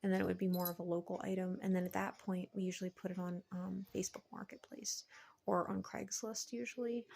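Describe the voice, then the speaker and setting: A woman with a lowish, gravelly voice full of vocal fry talks casually to her viewers. She sounds worn out and a little hoarse, and she meanders hesitantly through her thoughts with lots of filler phrases. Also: flat delivery, a little fast, a little mellow. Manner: hesitant, meandering, heavy use of "like" and "you know". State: tired, voice cracking and slightly hoarse. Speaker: vlogger and online reseller. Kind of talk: casual rambling chat to viewers.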